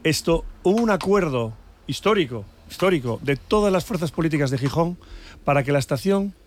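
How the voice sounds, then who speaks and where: A man's voice: a little high, lively intonation, fairly quick. A man debating on a panel in an indignant, emphatic way, repeating words for emphasis. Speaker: panelist in a political discussion. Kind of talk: casual, opinionated debate.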